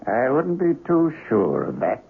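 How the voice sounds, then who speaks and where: An actor playing a grave, learned investigator of the supernatural in a radio mystery, speaking formally and deliberately. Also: male voice, mid-range voice, wildly swooping pitch, gravelly, heavily acted. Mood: grave, knowing. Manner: precise, formal, deliberate, theatrical. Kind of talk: radio mystery drama acting. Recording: noisy dull radio broadcast recording.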